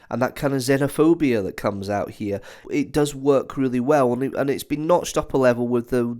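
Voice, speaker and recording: A man's voice: medium pitch, a little mellow. A man reviewing in a conversational, opinionated way, as commentary for a video.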